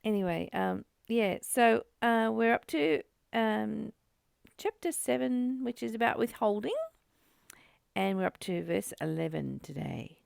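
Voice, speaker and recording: A woman with a creaky, gravelly edge to an otherwise clear voice leads a spiritual book study. She reads and explains deliberately at a slow pace, recorded close and dry. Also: mid-range voice, animated, darkish tone, no room sound. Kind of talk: reading aloud and informal teaching commentary.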